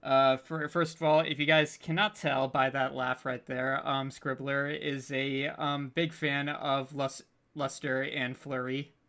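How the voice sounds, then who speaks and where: A panel host chatting casually on an online group call, haltingly, stammering and repeating the beginnings of words. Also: medium pitch, little pitch movement, bright, forceful tone.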